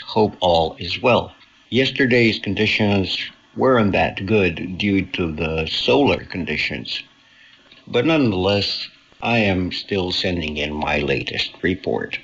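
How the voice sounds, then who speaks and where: Slow, low-voiced man on muffled shortwave. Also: fairly bright tone, slightly rough. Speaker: radio host reading listener mail. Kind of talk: scripted mailbag presentation on shortwave radio. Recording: dull, muffled shortwave radio capture.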